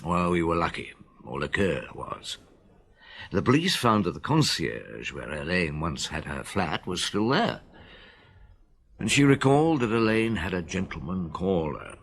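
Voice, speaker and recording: A reflective detective muses over a case in a radio drama. His voice is very deep, and his pitch swings widely as he thinks aloud. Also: big pitch swings, gravelly, exaggerated voice. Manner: musing, measured. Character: reflective veteran detective narrating.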